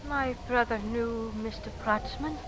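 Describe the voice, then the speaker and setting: An actress playing a solemn, grieving sister in 1940s radio drama style, speaking deliberately and dramatically on an old, noisy recording. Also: female voice, on the high side, fairly even intonation, fairly bright tone. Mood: accusing. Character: grieving sister accusing a supposed witch, solemn.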